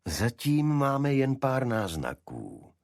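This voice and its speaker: A man voicing a brisk, probing gentleman detective in an audiobook, husky and incisive in his questioning. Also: mid-range voice, huge pitch swings, dry recording, studio quality, pitched above the performer's own voice.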